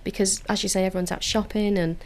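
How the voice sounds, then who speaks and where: A woman's voice: mid-range voice, level tone, quick pace, a little mellow. A woman with a Liverpool accent, speaking in an earnest, enthusiastic way in a radio studio interview about community work. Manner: earnest, enthusiastic, with frequent filler restarts. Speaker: council community engagement officer.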